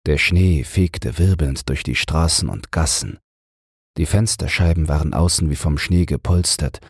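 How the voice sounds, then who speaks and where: A man narrating a fairy tale as a bedtime audiobook in a measured, soothing manner. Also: extremely low pitch, husky, no room sound, studio quality.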